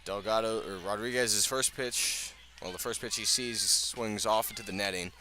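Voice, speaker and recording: A man doing live radio baseball play-by-play, speaking in measured short phrases with pauses between them. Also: on the low side, steady tone, pushed and bright, slight background noise. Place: outdoors at a ballpark.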